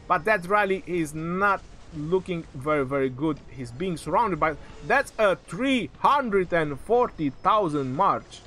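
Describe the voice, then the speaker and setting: A man calling a strategy game match live, in excitable, joking play-by-play, his voice going hoarse. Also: on the high side, unhurried, slight background noise.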